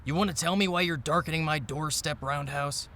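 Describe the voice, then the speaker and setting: A man's voice: fairly high pitch, fairly quick, bright, forceful tone. Guy acting a tired grumpy-but-kind reporter, noir radio play, dry delivery, noisy background. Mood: weary, irritated. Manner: weary, dry, reluctant. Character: tired, gruff but caring male newspaperman, old friend. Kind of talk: noir audio drama acting. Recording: clear background noise of ambience effects.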